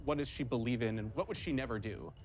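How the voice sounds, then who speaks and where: A man's voice: medium pitch, quick pace, music in the background. A man, a game developer, explaining his work in a behind-the-scenes interview.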